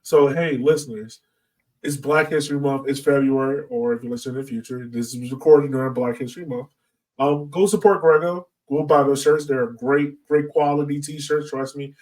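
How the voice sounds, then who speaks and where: A man's voice: mid-range voice, a little mellow, distant mic, poor audio. An American man hosting a podcast in a casual, informal way, speaking directly to his listeners from a little way off the mic. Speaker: podcast host.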